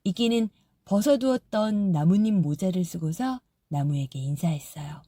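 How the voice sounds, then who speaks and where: A woman narrating a fairy tale audiobook in a soft, gentle storytelling manner. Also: fairly low pitch, expressive, normal speed, husky, no room sound, studio quality.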